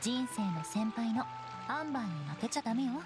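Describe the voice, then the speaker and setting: A woman's voice: fairly high pitch, animated, fairly quick, loud music behind the voice, natural voice. A woman voicing a cool, self-assured young female knight in an anime game, composed and slightly aloof. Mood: composed, teasing.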